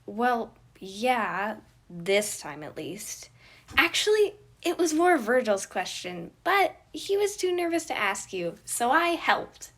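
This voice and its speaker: A hyper little boy acted out by a woman, loud and high-pitched, pushing and interrupting with wild excitement. The delivery is eager and chaotic, as in a storybook reading. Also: slow pace, breathy edge, exaggerated voice. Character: hyper, wild-eyed, pushy chaotic small boy, fantasy side.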